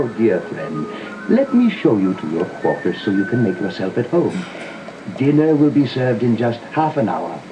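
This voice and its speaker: Dull cassette dub with music: ailing old gothic nobleman, low, mournful, nervous, theatrically varied delivery. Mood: resigned. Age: older. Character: sickly, doomed old aristocratic man, nervous and melancholy. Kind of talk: gothic audio drama acting.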